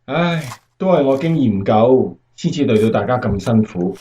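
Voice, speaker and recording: Actor as steady bomber captain, muffled audio. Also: male voice, medium pitch, normal speed, lightly acted. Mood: modest, eager. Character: steady, earnest male American WWII bomber pilot captain. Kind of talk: Cantonese radio drama acting.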